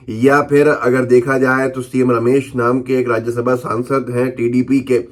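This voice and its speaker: A man presenting news commentary in an emphatic, questioning way, speaking off the cuff and repeating phrases for stress. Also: mid-range voice. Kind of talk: unscripted political news commentary, reading out newspaper headlines.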